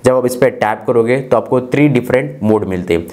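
A man's voice: medium pitch, fairly quick, darkish tone. Young Indian man explaining step by step. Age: 20s. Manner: explanatory, step-by-step, mixing in English tech terms. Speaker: tech reviewer and channel host. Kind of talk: scripted-sounding phone feature walkthrough.

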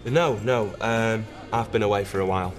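An actor playing an awkward young man, speaking in a hesitant, halting way. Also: on the low side, music in the background, close to an everyday voice. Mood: uneasy. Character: awkward young man, a son back home.

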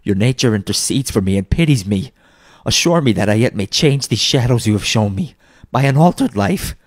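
A man's voice: lowish voice, a little slow, vocal fry, darkish tone. A man voicing a repentant old miser in a storybook, fervent and exclamatory, with breathless, effusive delivery. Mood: desperate pleading. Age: older.

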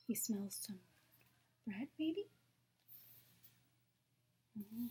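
A young adult woman reading picture books to children at story time in a soft, hushed, breathy manner. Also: a little high, expressive, extremely slow. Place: small room at home, indoors.

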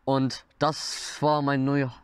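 A man's voice: mid-range voice, completely flat delivery, takes it slowly, clean tone, dry recording. A nineteen-year-old man from Iran, sharing a personal testimony in earnest, slightly non-native German while seated before a camera indoors. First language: Persian. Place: indoors, dark wooden wall behind. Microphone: camera in front, seated mid-shot.

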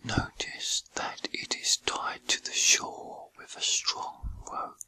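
A man whispering a guided meditation in a soothing, gentle, breathy ASMR style with unhurried pauses. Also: no room sound, rough recording.

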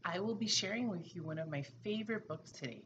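A middle-aged woman, a preschool teacher, reading a picture book aloud expressively and deliberately to young children. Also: fairly low pitch, expressive, dull audio, background noise. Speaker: pre-K teacher. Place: small room at home, indoors.

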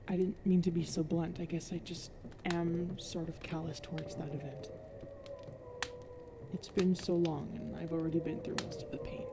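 Woman acting a soft, mellow, anguished werewolf girlfriend. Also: fairly low pitch, a little hiss or hum. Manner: halting, emotional, breaking into whispers. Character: huge, self-loathing young werewolf girlfriend, anguished and tender. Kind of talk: ASMR roleplay acting. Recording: cricket and night ambience behind.

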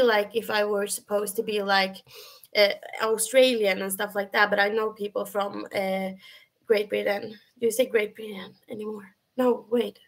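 A young woman from Sweden with a Swedish accent and a high, feminine voice, chatting casually with viewers on a webcam livestream from her bedroom, full of fillers and restarts. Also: vocal fry. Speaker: livestream host. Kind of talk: casual live chat answering viewers. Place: bedroom at home, indoors.

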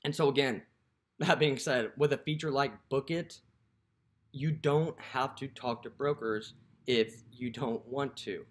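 A man's voice: medium pitch, a little slow. A young man with a mid-range voice talks casually to the camera, walking through a phone app. His talk is loose and conversational, full of "you know" and "what have you." He is recorded close up indoors. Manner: conversational, filler-heavy, lots of "you know". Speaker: dispatcher and app promoter.

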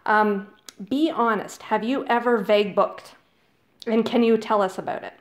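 A woman blogger conducts a casual interview, bubbly and eager, with frequent laughter. Her voice sits a little high for a woman. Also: moderate pace. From Canada.

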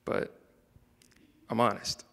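A young man reading his own spoken word poetry into a handheld microphone, soft-spoken and hesitant between poems. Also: takes it slowly, big-room sound. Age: young adult. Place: indoor room, standing by a wall.

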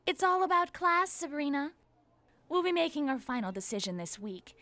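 A woman's voice: high voice, very animated, normal speed, bright, forceful tone, dry recording, full character voice. A woman playing a snooty popular cheerleader in a cartoon, pompous and condescending. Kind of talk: animated series dialogue.

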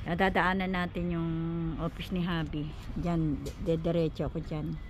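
A woman's voice: fairly low pitch, little pitch movement, normal speed. A woman vlogging casually outdoors, chatting about her errands as she walks. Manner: chatty, narrating her errands while walking. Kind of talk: casual walking vlog. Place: outdoors on a city street. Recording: lo-fi with loud street noise. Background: traffic and street noise.

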